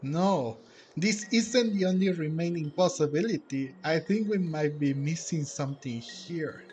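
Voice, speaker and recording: A man's voice: fairly high pitch, measured pace, darkish tone, slight background noise. A man streaming a game with casual, informal commentary, reasoning and reacting aloud.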